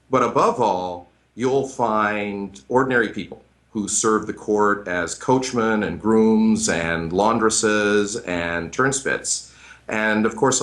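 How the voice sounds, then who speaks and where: A man's voice: on the low side, fairly bright tone. An American man, a middle-aged history professor, explaining at length in a radio interview with frequent hesitant fillers. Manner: thoughtful, hesitant, many fillers.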